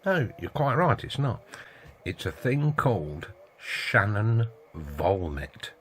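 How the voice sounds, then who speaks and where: A man's voice: fairly low pitch, sing-song, slow pace, fairly bright tone, slight background noise. A middle-aged man with a British accent explaining casually in a rambling, wry way, often asking rhetorical questions. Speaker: video host, radio hobbyist.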